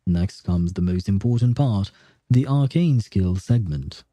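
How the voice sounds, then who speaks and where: A man reading a game's story aloud as a streamer, with measured narration and casual reactions. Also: on the low side, animated, mellow, no echo.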